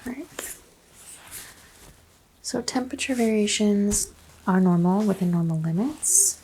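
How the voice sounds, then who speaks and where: A soft-spoken woman doing an ASMR doctor roleplay, asking unhurried exam questions and slipping into whispers. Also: medium pitch, expressive, slight background noise, low-quality recording.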